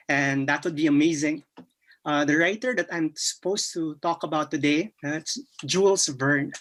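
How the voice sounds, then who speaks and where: A man from the Philippines with a Filipino accent, giving a timed practice answer for a speaking exam, with frequent pauses and restarts. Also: fairly high pitch, a bit bright. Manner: hesitant, many fillers and restarts. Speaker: IELTS student.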